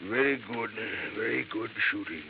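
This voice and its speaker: A man playing a taunting, cornered gunman in a radio noir drama, halting and drawn-out in his speech. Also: medium pitch, lively intonation, vocal fry. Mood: taunting, fading. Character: taunting, cornered male gunman, slow and menacing. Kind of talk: 1950s radio noir acting. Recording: muffled old radio with loud noise.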